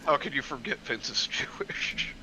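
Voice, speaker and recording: A man's voice: medium pitch, moderate pace, bright, forceful tone. A man chatting casually and joking along as a co-host. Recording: dull lo-fi mic with background noise.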